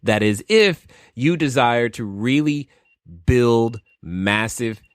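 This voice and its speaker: A man hosting a podcast interview, pitching his site and introducing his guest with enthusiastic, salesy energy. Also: expressive, slow, clear, resonant tone, no room sound, studio quality. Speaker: podcast host.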